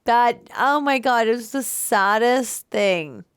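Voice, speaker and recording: A woman's voice: a little high, slow, smooth, no room sound, studio quality. A woman co-hosting a movie reaction chat, speaking emotionally and gushing, often repeating herself for emphasis. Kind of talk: casual chat.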